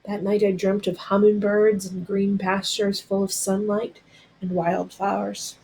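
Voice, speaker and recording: A woman reads a story aloud in a medium-pitched voice. Her narration is expressive and dramatic. She shapes her phrases deliberately, as a storyteller does. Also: slight background noise, low-quality recording.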